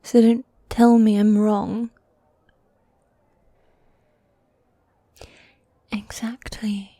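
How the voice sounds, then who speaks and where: Woman acting a slow, whispery goth demon girlfriend. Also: medium pitch, flat delivery, no room sound. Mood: playfully chiding. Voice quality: soft, breathy, often whispering. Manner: teasing, coy, affectionate, with sighs. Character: teasing, dominant yet needy goth demon girlfriend. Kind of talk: medieval fantasy ASMR roleplay. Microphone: close, dry microphone.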